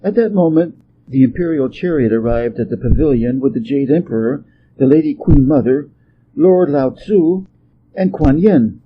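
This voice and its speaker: A man from Hawaii reading a storybook tale as an expressive narrator. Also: mid-range voice, moderate pace, phone-quality sound, a little hiss or hum. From Hawaii, United States.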